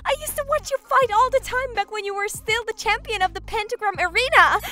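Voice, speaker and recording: A woman playing a sweet but sassy, motherly big sister demon in an animated comic dub, coaxing and excitable. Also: very high voice, fast talker, over loud music. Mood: excited.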